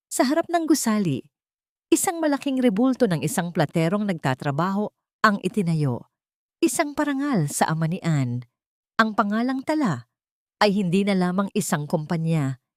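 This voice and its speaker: A woman narrating a dramatic love story in a measured, emotive storytelling style. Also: medium pitch, a little mellow, dry recording, studio quality.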